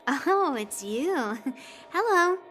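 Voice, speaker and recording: A slow-spoken cheerful young girl. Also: high voice, sing-song, fairly bright tone, slight background noise, exaggerated voice.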